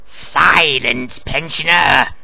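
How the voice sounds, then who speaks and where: Man acting cartoon villain, petty, bombastic, flippant, creaky bright voice, unhurried. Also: on the high side, faint music behind, heavily acted. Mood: bossy. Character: petty, bellowing cartoon villain, adult male.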